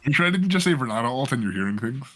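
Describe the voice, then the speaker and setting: A man casting a live esports match, joking around and getting excited about the plays. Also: medium pitch, rapid-fire. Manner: joking, excitable, laughs often. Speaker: esports caster. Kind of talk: live game commentary.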